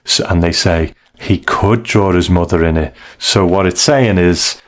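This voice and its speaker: A man, co-hosting a podcast and retelling a story in a casual, rambling, halting way. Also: deep voice. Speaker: podcast co-host. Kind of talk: casual conversational story recap.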